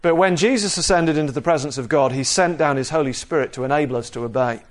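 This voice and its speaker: A man preaching an expository sermon in a measured, explanatory manner, repeating key phrases for emphasis. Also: on the high side. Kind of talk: sermon, expository Bible teaching.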